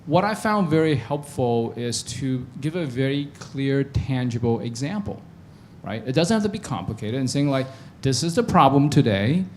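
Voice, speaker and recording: A man gives advice on a stage panel in a friendly, explanatory way, often ending points with "right?". His voice is at an ordinary middle pitch. A faint rumble sits behind him. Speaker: panelist from enterprise tech.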